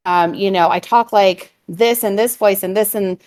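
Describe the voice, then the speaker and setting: A woman voice coach from California with a little vocal fry, explaining vocal technique at length in a casual conversation, with frequent "um"s. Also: medium pitch, moderate pace, darkish tone. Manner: fluent, explanatory, many "um"s.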